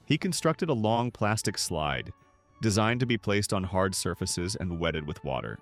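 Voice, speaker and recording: A man narrates a scripted documentary in a fairly low voice. His intonation moves widely, yet the delivery stays measured and polished like practiced storytelling. Music plays behind him.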